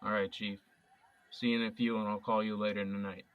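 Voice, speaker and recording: An earnest young man, played by an actor in an audio drama, talking casually and openly. His voice is on the low side. It sounds set back from the microphone. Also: level tone, fast talker, recorded from a distance, dull audio, lightly acted.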